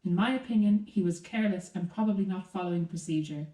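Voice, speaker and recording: A woman's voice: lowish voice, quick pace, dark tone, recorded from a distance, lightly acted. A woman playing an officious factory supervisor in a radio play, reporting formally and matter-of-factly. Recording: echoey room, away from mic.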